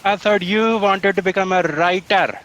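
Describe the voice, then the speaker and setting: A man's voice: high voice, fairly even intonation, normal speed, a bit bright, lo-fi sound, slight background noise. A man playing an earnest office colleague explaining events in a sci-fi satire audio drama.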